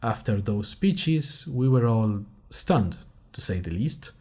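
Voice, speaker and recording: A man playing a bemused university student who narrates a first-person audio diary in a dry, musing, deliberate way. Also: on the low side, animated, a little mellow, slightly rough, soft background music, heavily acted. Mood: bemused, uneasy. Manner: dry, wry, musing in short deliberate phrases. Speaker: narrator of a fiction podcast.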